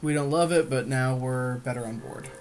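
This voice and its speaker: Regular mid pitched guy co-hosting game commentary, short agreeable comments, a bit noisy recording. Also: normal speed, darkish tone. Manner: agreeable, brief interjections. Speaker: podcast co-host. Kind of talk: casual game commentary with a co-host.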